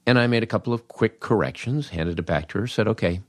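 Older american guy w a low voice, wry podcast storyteller, acts out dialogue, real dry sound. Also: dry recording. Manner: wry, animated, acts out dialogue with dramatic pauses. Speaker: podcast host, comedy writer. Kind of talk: humorous first-person storytelling monologue.

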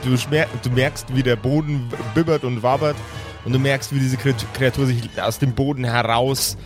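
A male game master tells an improvised fantasy tale in a mid-pitched voice. His delivery moves between dramatic narration and casual podcast asides, with music clearly present behind him. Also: fairly even intonation, moderate pace.

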